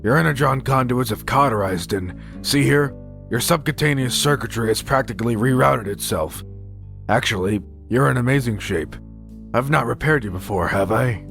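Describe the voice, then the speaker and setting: An actor playing a calm, reassuring robot medic in an audio drama, explaining things in a clinical way. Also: male voice, mid-range voice, moderate pace, creaky, soft background music.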